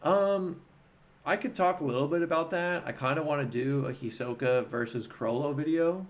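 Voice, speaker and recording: A man hosting a casual livestream, talking informally and haltingly as he thinks aloud and answers chat. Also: a little high.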